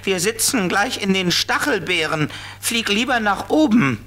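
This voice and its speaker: A man voicing a fussy, excitable flying beetle who scolds, in a children's audio play. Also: high-pitched, a little slow, heavily acted. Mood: anxious warning. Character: fussy, squealing, ticklish male flying beetle, child's companion.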